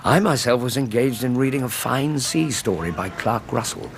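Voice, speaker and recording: An actor playing a gentlemanly Victorian English doctor narrating a radio drama in a measured, eloquent way. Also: male voice, medium pitch, lively intonation, noisy background, lightly acted. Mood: measured, evocative. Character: Doctor Watson, gentlemanly Victorian male narrator and loyal friend.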